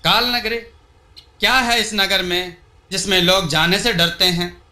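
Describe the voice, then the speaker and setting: An earnest young storybook adventurer, voiced by a man, speaks in a high, very bright voice. The sound is noticeably echoey. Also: fairly even intonation, a little slow, exaggerated voice. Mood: astonished. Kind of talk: storybook dialogue.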